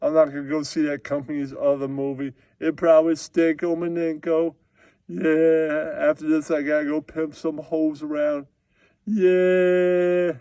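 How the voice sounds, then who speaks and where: Man as a grumpy puppet reviewer, raised pitch, blunt, crude, repetitive, dry close sound. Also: level tone, a little slow, mellow, clean tone, no echo, natural voice. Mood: scornful. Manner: blunt, repetitive, punctuated with yeahs. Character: grouchy, crude, scornful male puppet movie critic.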